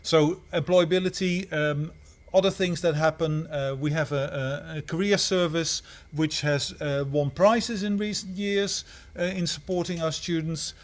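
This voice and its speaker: A man from the Netherlands with a slightly high voice speaks as a head of school. He talks haltingly, stopping and restarting his phrases often. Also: steady tone. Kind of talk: answering questions on a livestream.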